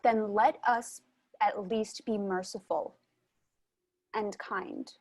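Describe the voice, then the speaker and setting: A woman playing a merciful, pleading Roman princess in a drama table read, gentle in manner. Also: medium pitch, fairly even intonation, slow.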